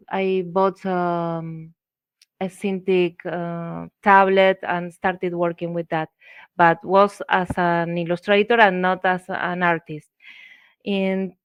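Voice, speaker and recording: Woman, middle-aged, Argentine accent, native Spanish speaker, unhurried, smooth clear voice, halting interview storytelling. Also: medium pitch, no echo. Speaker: interview guest, visual artist. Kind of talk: conversational personal storytelling.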